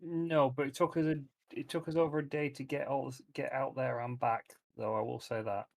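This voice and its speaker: A man's voice: medium pitch, flat delivery, a little fast, creaky. A man talking casually and bluntly as a player in a tabletop roleplaying game.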